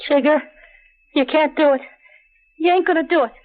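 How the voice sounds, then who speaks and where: A woman's voice: high voice, normal speed, lightly acted. An actress with an American accent playing an anxious, loyal, distraught gangster's girl in an old radio drama. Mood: pleading. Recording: muffled, thin old radio recording.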